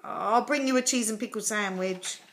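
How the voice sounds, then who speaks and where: A woman's voice: mid-range voice, moderate pace, a bit bright, lightly acted. A woman voicing an eager, proud young boy in a children's storybook. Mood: reassuring.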